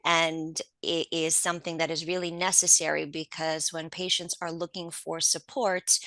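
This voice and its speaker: Low, gravelly woman, bright and crisp. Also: dry recording. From Poland. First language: Polish. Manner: measured, articulate explanations. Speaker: patient advocate guest. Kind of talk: interview answers.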